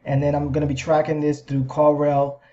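Man explaining casually, mellow slightly muffled sound. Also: on the high side, completely flat delivery, moderate pace. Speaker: video host sharing a business plan. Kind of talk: casual screen-share explainer. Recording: slightly dull, lo-fi microphone sound.

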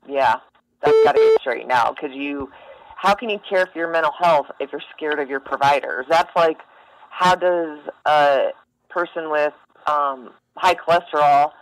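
A woman's voice: low voice, moderate pace, dry recording. A woman speaking bluntly and informally in a casual phone conversation, as a helpline staffer. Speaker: mental health helpline staffer. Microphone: telephone. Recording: phone call.

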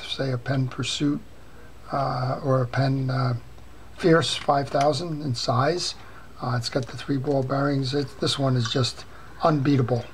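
An older man hosting a fishing reel repair channel, talking casually while showing reels on his workbench, with many "uh" pauses. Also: on the high side. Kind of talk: casual show-and-tell. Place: workbench at home. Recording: clear background noise.

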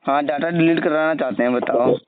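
A man's voice: fairly high pitch, fairly quick, a little hiss or hum. Placating man on a tinny phone line. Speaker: recipient of a scam call. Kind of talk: casual phone conversation. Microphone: telephone line. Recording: tinny recorded phone call, band-limited.

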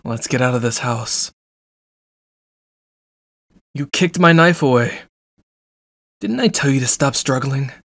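A cold, possessive stalker, acted by a man in an ASMR roleplay. He speaks slowly and deliberately in clipped threats. The voice is slightly husky, mid-pitched and recorded close and dry. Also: animated, a bit bright, no room sound, full character voice. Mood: angry, agitated. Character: jealous, controlling, menacing young male stalker.

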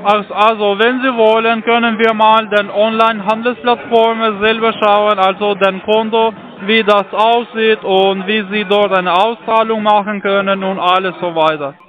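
A man's voice: high-pitched, little pitch movement, normal speed, few pauses. A man with a foreign accent, a non-native speaker of German, talking as a call centre salesman on a phone call. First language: not German.

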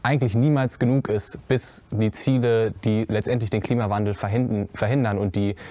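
A young man, seated on a stage, talks his way through interview questions in a lowish voice, pausing often with fillers and restarting his sentences. The sound is dry and close, with no room echo. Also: steady tone, warm tone. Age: young adult. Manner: halting, many fillers and restarts. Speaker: young actor in a musical theatre piece. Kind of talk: interview answers. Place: cinema auditorium stage before a curtain.

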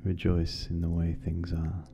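A meditation guide speaking gently and unhurriedly, with long pauses, leading a guided meditation. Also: sits low, completely flat delivery, noisy background. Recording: dull lo-fi sound, music behind. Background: meditation music.

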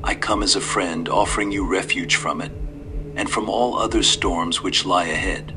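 A man voicing a sinister, smirking old man in a suit, speaking low, slow and hushed, sometimes in a whisper, in a horror story. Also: steady tone, music in the background, natural voice. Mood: calm, ominous.

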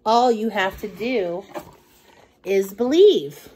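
A middle-aged woman reading a children's picture book aloud in an expressive, deliberate, sing-song way. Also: mid-range voice, a little hiss or hum. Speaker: children's storytime reader.

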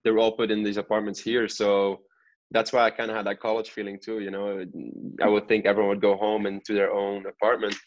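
A man's voice: fairly low pitch, completely flat delivery, a little fast, highs cut off. A man in his twenties, a pro soccer player, chatting casually as an interview guest. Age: 20s. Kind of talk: casual podcast interview chat.

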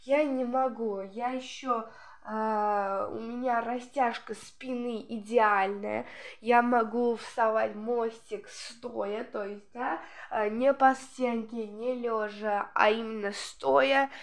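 Chatty woman with a gravelly, sniffly voice. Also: fairly high pitch, steady tone, slow pace. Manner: chatty, rambling, many fillers. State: slightly hoarse from a cold. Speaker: gameplay channel host. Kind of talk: casual gameplay commentary.

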